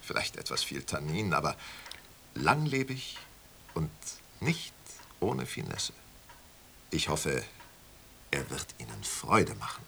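A smug wine auction magnate, suave and sure of himself. The voice sits very low but swings widely with a bright, sharp edge. It is a radio play scene with faint noise behind it. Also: male voice, sing-song, slow pace, gravelly, pauses often, heavily acted. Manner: suave, self-assured, salesman-like.

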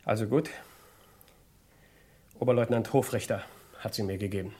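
A man's voice: on the low side, steady tone, moderate pace, mellow, slightly rough. A man playing a proud, hot-headed young cavalry lieutenant in a German radio crime play, irritated and clipped. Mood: grudging.

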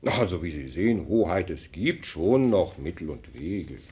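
An actor playing a dry, blunt minister of state in a German radio play, speaking formally and pointedly with an ironic, lecturing air. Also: male voice, deep voice, big pitch swings, a little slow, darkish tone, slightly rough, full character voice. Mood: breezy irony.

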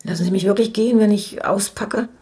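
An actress in a German radio crime drama playing a young, foul-mouthed gangster's moll who is defiant and scared, talking crudely. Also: female voice, medium pitch, a little fast, dark tone, husky, no room sound. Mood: wary, confiding. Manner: brash, crude, slangy.

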